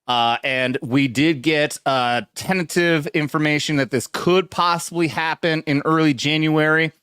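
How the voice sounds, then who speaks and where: A man's voice: fairly high pitch, steady tone, measured pace, fairly bright tone, smooth, no echo, clean studio sound. A man co-hosting a casual livestream, swearing and rambling with frequent laughs. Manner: profane, rambling, laughs often. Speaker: co-host. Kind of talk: casual crude banter.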